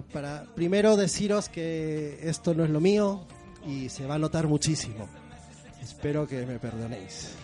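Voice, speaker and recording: A man's voice: on the high side, mellow. A middle-aged man from Peru hosting a live poetry segment before an audience, moving from theatrical introductions to loose, self-mocking asides.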